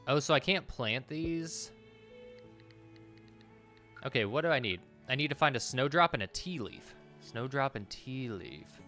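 A man's voice: mid-range voice, lively intonation, unhurried, long pauses, sharp tone, soft background music. A man in his thirties giving casual let's play commentary, thinking aloud and muttering to himself as he plays. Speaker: gaming video host.

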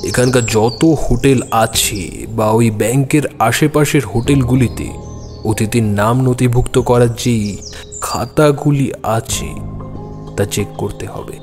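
Man acting a brisk, authoritative amateur detective. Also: mid-range voice, darkish tone, close to an everyday voice. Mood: brisk, commanding. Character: astute, self-assured male teacher and amateur detective. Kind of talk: audio drama dialogue. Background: music.

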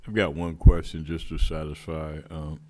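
A man's voice: extremely low pitch, a little slow, vocal fry, dark tone. A man on the council, politely asking one careful question in a large chamber. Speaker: council member.

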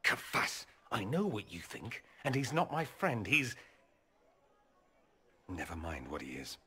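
Sardonic flirtatious mage, lively pitch, long reverb, video game. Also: male voice, measured pace, full character voice. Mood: teasing, wry. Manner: dry, teasing, sardonic. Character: witty, flirtatious male mage from the Imperium.

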